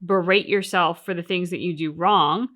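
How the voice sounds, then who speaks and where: A woman's voice: on the low side, steady tone, normal speed, fairly bright tone. Earnest woman chatting casually about games. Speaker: host of a game reaction channel. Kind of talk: casual discussion of a game's story.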